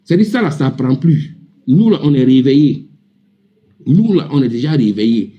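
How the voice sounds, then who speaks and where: A man's voice: medium pitch, lively intonation, moderate pace, lo-fi sound. A man with an Ivorian accent speaking indignantly and emphatically in a heated, informal live political debate, often repeating himself. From Ivory Coast. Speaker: panel debate participant.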